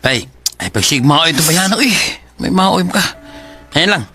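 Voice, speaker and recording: A man's voice: a little high, sing-song, normal speed, bright, forceful tone, breathy edge, full character voice. An actor with a Cebuano Filipino accent playing a blunt young man giving his buddy advice in a radio drama. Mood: cautioning.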